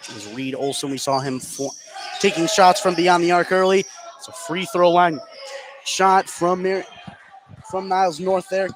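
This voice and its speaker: A man doing live radio play-by-play of a basketball game in short clipped phrases, getting excitable on big plays. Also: sits high, normal speed. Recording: clear gym noise behind the voice. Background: basketball game ambience, bouncing balls.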